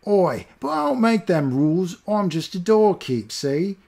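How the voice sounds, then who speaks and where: A man's voice: very animated, a little slow, creaky, a little mellow, full character voice. A sniveling doorman. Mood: smug. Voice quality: thick put-on accent, whiny. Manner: drawling, smug, squeaks when scared. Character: sniveling smug male doorman, petty gatekeeper.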